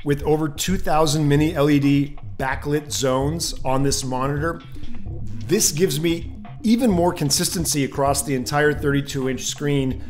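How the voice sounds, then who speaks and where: A middle-aged man with a North American accent explains technical matters to camera in an emphatic way, repeating key words for stress, recorded on a desk studio mic. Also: medium pitch. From Canada and the United States, born in Czechoslovakia. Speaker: video creator and colorist presenting. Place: small room indoors at a desk. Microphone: studio condenser mic on desk stand. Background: music.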